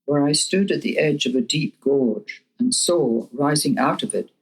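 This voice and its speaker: An elderly woman in her eighties, an author reading her own nonfiction audiobook with measured, deliberate phrasing. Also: sits low, husky, low-quality recording. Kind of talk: scripted nonfiction audiobook narration.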